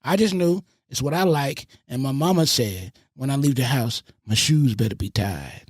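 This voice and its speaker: A man from the Bronx and Fayetteville, a radio host and producer, telling animated stories on a podcast and swearing freely. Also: mid-range voice, normal speed, husky, dry recording, clean studio sound. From Bronx, New York and Fayetteville, North Carolina. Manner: animated storyteller with a lot of swearing. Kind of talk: casual podcast storytelling.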